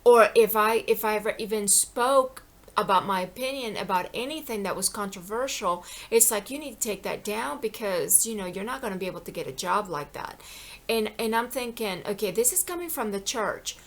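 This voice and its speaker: A woman's voice: medium pitch, lively intonation, normal speed. Woman, middle-aged, aggrieved monologue, rambling, repeats words, pleading. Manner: rambling, repeats herself, many "you know"s. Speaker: vlogger. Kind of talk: impassioned personal monologue.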